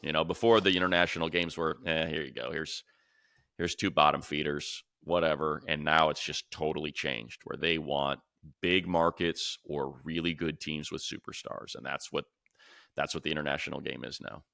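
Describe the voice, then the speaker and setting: A man's voice: extremely low pitch, fairly even intonation, normal speed, gravelly, pushed and bright, no echo, studio quality. An American man co-hosting a sports podcast, giving emphatic, slangy opinions in a casual way. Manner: emphatic, slangy, repeats phrases. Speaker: sports podcast co-host, announcer.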